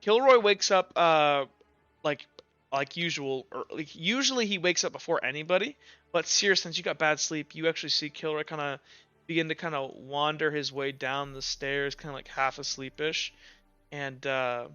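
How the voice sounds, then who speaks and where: Guy game mastering, kinda high bright voice, rambly and stop-start, muffled audio w music behind. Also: clear, resonant tone, no room sound. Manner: halting, rambling, thinking aloud. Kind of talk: improvised narration of a tabletop roleplaying game. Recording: dull lo-fi audio, music underneath.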